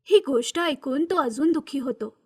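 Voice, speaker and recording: A woman's voice: sits high, animated, normal speed. A woman reading scripted narration for a children's moral story.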